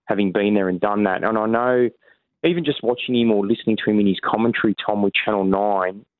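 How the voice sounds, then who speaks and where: An Australian man in a casual sports chat, talking at length and often restarting his sentences. His voice sits a bit low. It comes through a dry, narrow, phone-like line. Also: no room sound. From New South Wales, Australia. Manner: talkative, many restarts and self-corrections. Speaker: rugby league journalist guest. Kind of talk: casual podcast interview.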